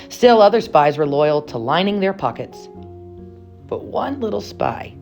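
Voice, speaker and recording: Low-voiced woman reading a storybook expressively. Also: darkish tone. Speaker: read-aloud storyteller. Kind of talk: expressive children's picture book narration.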